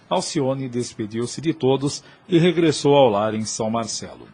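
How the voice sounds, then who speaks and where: A man narrating a scripted audio novel in a solemn, measured storytelling manner. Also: mid-range voice, a little mellow, slightly rough.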